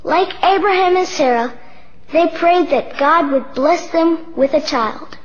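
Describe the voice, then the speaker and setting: A woman's voice: very high-pitched, measured pace. A woman reading scripted narration for a children's Bible story. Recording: dull audio with music behind. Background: music.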